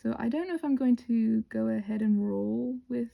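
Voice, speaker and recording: A woman hosting a casual craft tutorial, thinking aloud in a scattered, self-interrupting way with playful, expressive exclamations. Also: fairly high pitch, moderate pace, vocal fry, phone-quality sound. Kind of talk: rambling casual craft narration.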